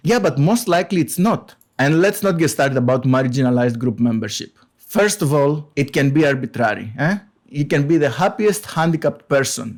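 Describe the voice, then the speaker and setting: A man's voice: mid-range voice, lively intonation. A man with a Greek accent who speaks Greek as his first language, giving his opinion as a channel host in an emphatic, argumentative way. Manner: argumentative, emphatic, reads quotes slowly. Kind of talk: opinion commentary.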